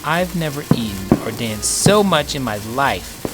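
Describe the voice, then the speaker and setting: A man voicing a curious, excitable young girl in a children's storybook, eager and exclamatory. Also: medium pitch, measured pace, voice pushed up, rough recording. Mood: delighted. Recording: music playing behind the voice.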